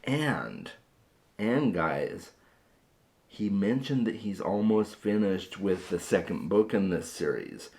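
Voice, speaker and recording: Book vlog host: very deep, hoarse male voice, easygoing chatter with frequent restarts. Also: big pitch swings, slow pace, darkish tone. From Phoenix area, USA. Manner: chatty, repeats words when starting sentences. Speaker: book vlogger. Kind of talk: casual chat to camera.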